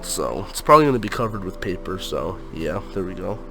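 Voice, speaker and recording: A man's voice: sits low, very animated, a little fast, gravelly, over music. Congested young man rambling about sneakers. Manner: rambling, lots of fillers like um and like. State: congested from a cold. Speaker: sneaker unboxing vlogger. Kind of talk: casual unboxing chat.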